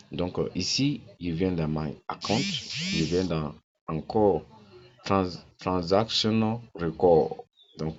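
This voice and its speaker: A very low-voiced man talks viewers through his account in a casual, persuasive tone. He hammers his points home by repeating them. The recording is dull and lo-fi. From Ghana. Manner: repeats phrases insistently, persuasive. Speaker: network-marketing promoter. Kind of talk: casual screen walkthrough.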